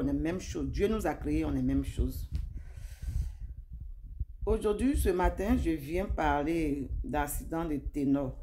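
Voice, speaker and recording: A woman's voice: sits low, dark tone, slight background noise. A woman giving informal video commentary, speaking emphatically, repeating herself and addressing her viewers directly. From Africa. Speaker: social media commentator.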